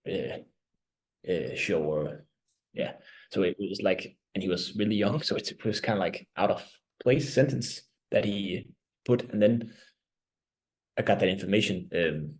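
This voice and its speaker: Danish-accented man speaking halting English. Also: low-pitched, poor audio. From Denmark. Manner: halting, searching for words, many restarts. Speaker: band vocalist and lyricist. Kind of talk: reflective interview answers.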